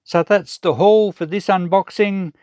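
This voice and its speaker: A man's voice: high voice, steady tone, darkish tone, no room sound. Casual Australian man, dry close sound. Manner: unhurried, thinking aloud while working. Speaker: presenter of a vintage electronics salvage channel. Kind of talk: casual hands-on commentary and explanation.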